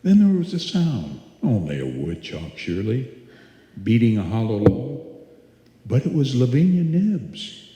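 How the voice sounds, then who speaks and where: A man playing the ominous narrator of a suspense tale, deliberate and dramatic, in old-time radio style. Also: mid-range voice, sing-song, mellow. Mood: hushed suspense. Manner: deliberate, dramatic, repeating phrases. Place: live stage performance in a hall. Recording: echoey hall, long reverb.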